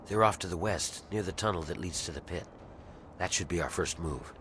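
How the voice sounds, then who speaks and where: Man playing a deep-voiced, grim rebel slave. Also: fairly quick, lightly acted. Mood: grim, determined. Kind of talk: video game character dialogue. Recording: clear ambient noise behind voice.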